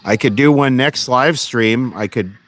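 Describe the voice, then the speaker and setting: A man hosting an electronics repair livestream, chatting casually and answering comments in a halting, unscripted way that often trails off. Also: mid-range voice, fairly bright tone, a little hiss or hum.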